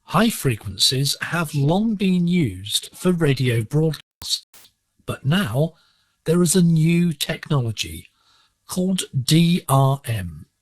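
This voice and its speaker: A man presenting a scripted radio lesson in a measured, instructive way, heard over a digital shortwave broadcast. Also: mid-range voice, no echo. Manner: measured, didactic.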